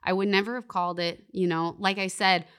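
A woman's voice: fairly low pitch, level tone, brisk, fairly bright tone, smooth. A young woman, a podcast guest talking earnestly and reflectively about faith, often saying "like" and "you know". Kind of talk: faith-based podcast conversation.

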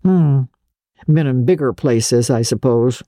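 A woman's voice: rumbling low, slow pace, warm tone, dry recording, clean studio sound. A woman voicing a weary, cynical young man of letters in a novel, blunt and sardonic. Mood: offhand, curious.

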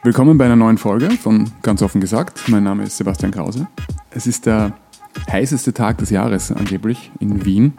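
A man hosting a casual podcast interview, phrasing his questions in a hesitant, unhurried way. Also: on the low side, creaky, over music. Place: living room in a flat.

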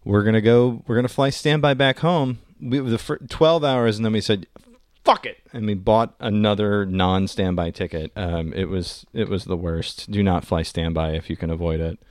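Low-voiced man telling a story. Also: steady tone, moderate pace, darkish tone, no echo, clean studio sound. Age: adult, has a daughter. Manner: laughs easily, swears, stumbles over words. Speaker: podcast co-host. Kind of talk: casual chat.

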